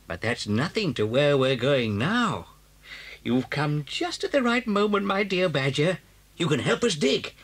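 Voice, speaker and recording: A man's voice: fairly high pitch, big pitch swings, unhurried, fairly bright tone, husky, full character voice. A British actor playing a sly, confident, charming father fox in a children's audio story, suave and theatrical, relishing his words. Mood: generous, triumphant.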